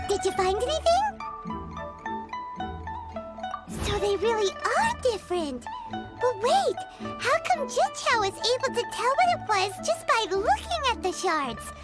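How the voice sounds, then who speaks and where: A woman voicing a tiny, curious, excitable childlike fairy girl in an anime-style game, squeaky and expressive. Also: normal speed. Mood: curious, impressed. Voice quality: squeaky, babyish. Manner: inquisitive, expressive exclamations. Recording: loud music behind the voice.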